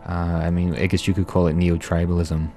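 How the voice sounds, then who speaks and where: A very low, mellow male voice hosts a casual radio interview in a studio. He asks unhurried, halting questions, full of ums and restarts. Faint ambient music plays softly behind him. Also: level tone. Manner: slow, halting questions with many fillers. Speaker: radio show host.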